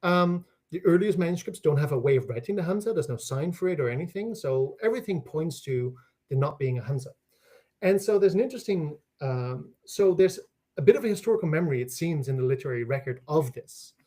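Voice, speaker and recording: A man's voice: fairly high pitch, lively intonation, brisk, mellow. A man from the Netherlands, a native Dutch speaker, explains as a guest scholar in an academic conversation, restarting phrases and checking in with "right?".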